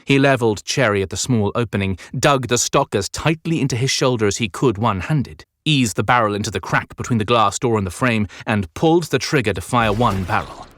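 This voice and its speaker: A man's voice: medium pitch, a little fast, few pauses, fairly bright tone, no echo, studio quality. A man reading dramatic scripted narration as an audiobook narrator. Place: dry recording studio.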